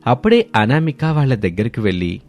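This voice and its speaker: A man's voice: mid-range voice, big pitch swings, fairly quick, a little mellow, dry recording. A man narrating a scripted dramatic family story. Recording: studio voice over background music.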